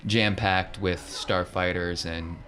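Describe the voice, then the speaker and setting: A man's voice: low-pitched, fairly even intonation, slow pace, sharp tone. A man casually narrating an improvised tabletop game as game master, explaining things conversationally.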